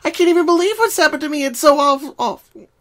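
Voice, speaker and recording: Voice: sits high, animated, quick pace, fairly bright tone, pitched above the performer's own voice. A performer voicing a frightened, exhausted young female pony with a quivering, pleading voice in a cartoon fan reading. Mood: panicked. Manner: whiny, dramatic, pleading.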